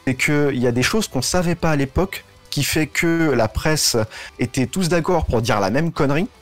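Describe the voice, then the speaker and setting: A man's voice: fairly high pitch, normal speed. A man talking casually as a guest on a live show. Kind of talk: casual chat. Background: music.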